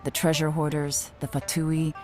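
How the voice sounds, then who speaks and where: A low, composed young woman, acted by a voice actress, speaks as a shrewd intelligence agent in a video game. Her delivery is cool, analytical and knowing, with a teasing edge and faint music behind. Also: flat delivery, normal speed, close to an everyday voice.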